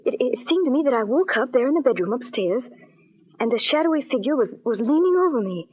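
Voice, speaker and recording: Scared wife in an old radio thriller, high husky voice, breathless and melodramatic, muffled sound. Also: female voice, expressive, fast. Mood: shaken, haunted. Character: frightened, fretful wife trapped in a thriller. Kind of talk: 1940s radio thriller acting. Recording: muffled old band-limited radio recording.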